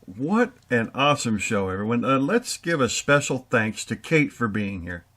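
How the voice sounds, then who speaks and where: A man's voice: medium pitch, sing-song, normal speed, fairly bright tone. A man hosting and announcing for a radio theater show. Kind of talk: announcing credits and thanks.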